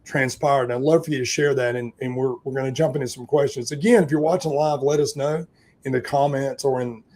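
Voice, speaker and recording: A man's voice: medium pitch, steady tone, a little fast, a little mellow. A man hosting a leadership interview show, asking long, enthusiastic, rambling questions. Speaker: podcast host.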